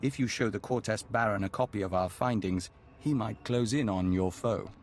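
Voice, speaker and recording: A man playing a formal, enigmatic advisor with a deliberate, detached manner in a video game. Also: lowish voice, lightly acted. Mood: informative.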